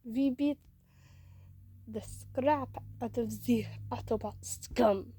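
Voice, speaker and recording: A woman's voice: a little high, slow, dark tone, husky. A woman voicing a hot-headed but fond male robot warrior in a read-aloud story, blunt and boastful.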